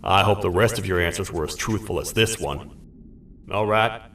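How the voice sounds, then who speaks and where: An actor playing a massive, gruff toad sheriff who talks like a stern frontier lawman. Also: sits low, moderate pace, a bit bright, a little hiss or hum. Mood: interrogating. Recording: echoey game audio from a distance, light music.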